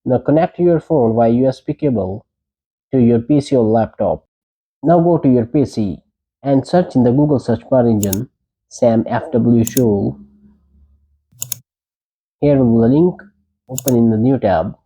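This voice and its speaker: Dark-voiced man giving tech instructions. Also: mid-range voice, a little slow, pauses often, slightly rough, low-quality recording. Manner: short plain imperative instructions. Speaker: tech tutorial presenter. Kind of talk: step-by-step instructional narration.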